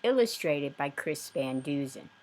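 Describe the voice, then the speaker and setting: A woman reading a children's story aloud with expressive, deliberate narration. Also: deep voice, a little hiss or hum. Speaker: storybook reader.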